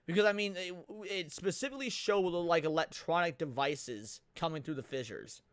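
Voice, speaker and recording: A man's voice: a little high, normal speed, clean tone, dry recording. A man giving casual live reaction commentary as a stream host, thinking aloud as he goes. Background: loud music from the watched video.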